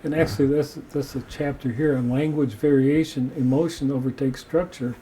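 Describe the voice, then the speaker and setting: A man hosting a podcast, reading passages from a book aloud and discussing them in a measured way. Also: medium pitch, fairly even intonation, warm tone, slight background noise, low-quality recording. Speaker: podcast host.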